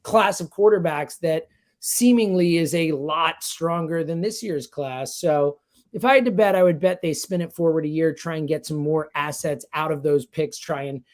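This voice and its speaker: A man giving sports analysis in conversation, speaking emphatically and repeating himself to drive points home. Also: a little high. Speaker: sports radio host as guest analyst.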